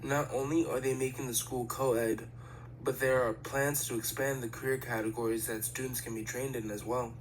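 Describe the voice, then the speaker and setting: A man's voice: mid-range voice, level tone, normal speed, slight background noise, natural voice, poor audio. A man voicing a serious, studious teenage boy idol in an amateur anime fandub, speaking in a formal, pedantic, matter-of-fact way. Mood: explanatory.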